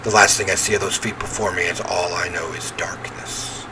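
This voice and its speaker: A man's voice: deep voice, fairly even intonation, moderate pace, music in the background, rough recording. A man reading a visual novel aloud as he plays, with theatrical, emphatic narration and offhand reactions.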